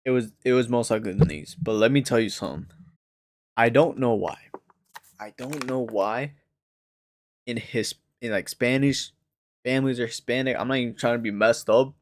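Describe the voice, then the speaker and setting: A man streaming a casual reaction to a crime show, slangy and animated, his voice jumping up when he is outraged. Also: normal speed, pauses often, a little hiss or hum. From United States. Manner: slangy and animated, breaks into outraged high rises.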